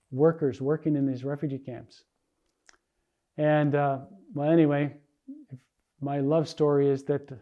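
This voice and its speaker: A man's voice: a little high, mellow, dull audio. An older man from the United States giving an earnest sermon testimony, telling his story with frequent restarts. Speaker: preacher.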